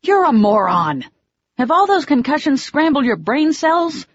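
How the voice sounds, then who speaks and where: A woman reading an audiobook, voicing a quick-tempered young woman who snaps back sharply. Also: expressive, no room sound. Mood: furious. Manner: shrill when angry, sharp retorts. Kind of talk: audiobook dialogue. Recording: dull lo-fi dry audio.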